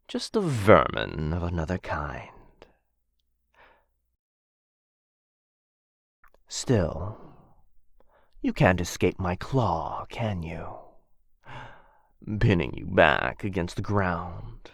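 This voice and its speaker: A man's voice: deep voice, very animated, very slow, creaky, long pauses, no echo, studio quality, full character voice. A man playing a smug, menacing, possessive dragon captor in a fantasy ASMR roleplay, drawling condescendingly and theatrically. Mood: taunting, smug.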